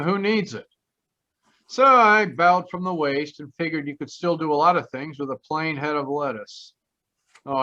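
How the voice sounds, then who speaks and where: An actor playing a wry, slangy American private detective who narrates an old-time radio mystery with grumbling comic patter. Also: male voice, a little high, pushed and bright. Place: performed from home on a video call. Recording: slightly dull video-call sound.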